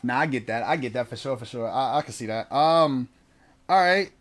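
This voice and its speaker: A man's voice: mid-range voice, lively intonation, normal speed. A man in his late twenties hosting a casual interview, talking loosely and profanely, joking at himself. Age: 20s. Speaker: host.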